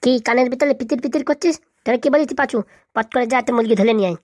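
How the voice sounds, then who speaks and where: A woman's voice: high-pitched, very fast, darkish tone, no room sound, studio quality. A woman voicing a bossy little cartoon boy who leads a gang and bullies others with a childish lisp. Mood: bossy, threatening. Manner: bossy, threatening, scolding. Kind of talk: comic cartoon dialogue.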